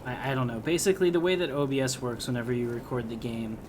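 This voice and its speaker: A man with a medium-pitched voice hosts a game playthrough. He talks casually and conversationally as he comments. There is clear noise behind his voice. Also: quick pace. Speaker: gaming video host.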